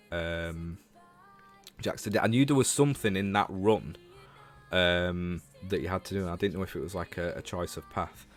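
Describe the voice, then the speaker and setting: Male livestreamer, low voice, Northern English accent, informal game chat over faint background music. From Leeds, England. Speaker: livestreamer and show host. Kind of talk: casual livestream chat about games, replying to viewers.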